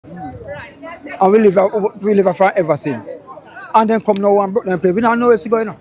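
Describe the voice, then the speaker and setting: A Jamaican man, a local resident, speaks plainly in Patois about his home. His voice sits fairly high. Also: normal speed, a little mellow, a faint crowd.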